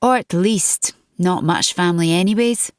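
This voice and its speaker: A woman's voice: fairly low pitch, normal speed, smooth, no room sound, clean studio sound, natural voice. A woman voicing a sly, streetwise teenage girl who spies for body snatchers, talking in an offhand, colloquial way.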